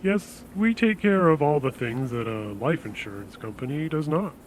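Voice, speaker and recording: A man playing a polite, persuasive insurance salesman in an audio drama, speaking in a measured, reassuring way. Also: on the high side, lively intonation, a little hiss or hum, close to an everyday voice, poor audio. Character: smooth-talking, reassuring male death insurance salesman.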